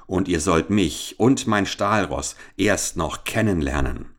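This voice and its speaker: A man's voice: deep voice, lively intonation, slow. A man reading aloud and voicing a cool-headed, sardonic teenage boy adventurer in a storybook tale. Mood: mocking. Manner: mocking, confident, emphatic.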